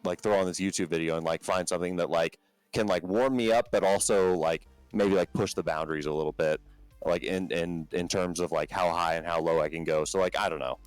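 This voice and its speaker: A man with a low talking voice, a band's vocalist as a podcast guest, chatting casually and rambling with many fillers and restarts. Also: fairly even intonation, a little fast, no room sound, faint music behind, poor audio.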